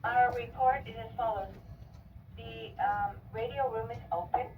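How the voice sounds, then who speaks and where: A woman's voice: fairly high pitch, steady tone, a little slow, highs cut off. A woman giving a formal station report as a radio operator, heard over a radio link. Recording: distant radio transmission, faint static.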